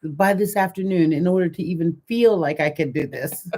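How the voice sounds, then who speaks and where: Lively older woman telling stories. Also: fairly low pitch, moderate pace. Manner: animated storyteller, laughs often. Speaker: livestream co-host. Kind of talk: casual chat.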